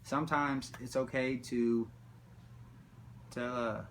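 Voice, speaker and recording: A man's voice: medium pitch, steady tone, takes it slowly, a bit bright, slight background noise. A man talking casually in a rambling, profane, slangy way, like someone spinning comic stories. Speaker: storyteller.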